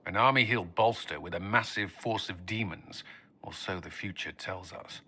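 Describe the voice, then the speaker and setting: A steady army commander who speaks dryly and directly. His voice sits fairly low but sounds sharp and forceful. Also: normal speed, slightly rough, exaggerated voice. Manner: firm, dry, matter-of-fact. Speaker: military commander in a fantasy game. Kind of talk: video game dialogue acting.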